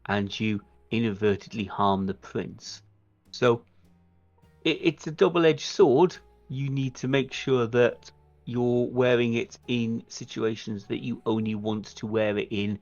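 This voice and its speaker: A man's voice: lowish voice, highs cut off. A man acting as game master, explaining and narrating a tabletop roleplay, making sure his players follow. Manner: explanatory, checks listeners follow.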